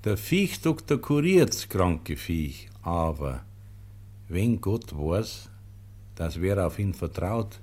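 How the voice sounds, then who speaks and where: Slow, low-voiced pious Bavarian brother. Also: male voice, exaggerated voice. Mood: patient, devout. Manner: calm, simple, gently admonishing. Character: pious, unshakably calm humble monastery porter brother. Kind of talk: German radio play acting.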